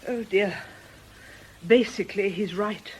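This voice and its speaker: An actress in a radio drama playing a composed, principled religious sister, measured, firm and gently reproving. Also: female voice, mid-range voice, very noisy, lightly acted.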